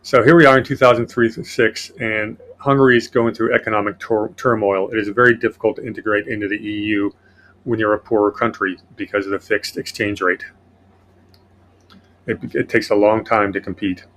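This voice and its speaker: A man's voice: lowish voice, steady tone, a little fast, a bit bright, slight background noise. A man hosting a casual political podcast, reading from his notes in a sardonic way. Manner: sardonic, reads notes aloud. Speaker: podcast host.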